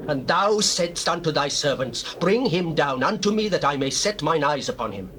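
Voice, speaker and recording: Judah, a pleading brother. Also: male voice, on the high side, animated, normal speed, a bit bright. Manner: earnest, supplicating, declamatory. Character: Judah, humble Hebrew brother pleading before a lord. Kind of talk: dramatized scripture, stage acting. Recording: noisy old cassette tape.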